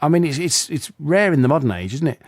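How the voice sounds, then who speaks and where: A man's voice: a little high, sing-song, fast, breathy edge, dry recording, studio quality. Chatty male radio presenter. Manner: chatty, enthusiastic, rambling questions. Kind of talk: radio interview. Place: radio studio.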